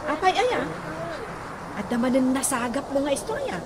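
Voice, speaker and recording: A woman's voice: high voice, big pitch swings, moderate pace. An actress playing a curious, chatty village woman in a radio comedy drama. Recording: very noisy radio drama, roosters and birds.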